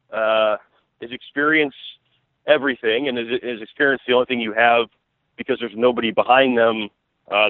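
Fast-talking man on a tinny phone line. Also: medium pitch, no room sound. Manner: rambling, conversational, repeating words as he thinks aloud. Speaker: guest sportswriter. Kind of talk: casual sports analysis in an interview.

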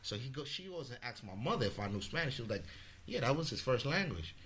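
A man from the Bronx telling a story as a podcast guest, animated, swearing freely and acting out what people said. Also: mid-range voice, quick pace. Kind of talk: casual storytelling.

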